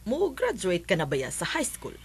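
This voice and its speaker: A woman with a Filipino Cebuano accent playing a caring, nagging mother in a radio drama, motherly and expressive. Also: on the low side, normal speed, slightly rough, exaggerated voice. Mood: concerned, nagging. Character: caring, nagging middle-aged mother of schoolgirl.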